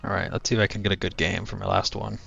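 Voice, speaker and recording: A young man streaming a flight game, chatting to his viewers in a low-key, monotone voice. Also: rapid-fire, vocal fry, husky. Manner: low-key, talks to chat while playing. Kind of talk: casual gaming stream chat. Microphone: cheap headset mic. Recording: dull headset mic with game music.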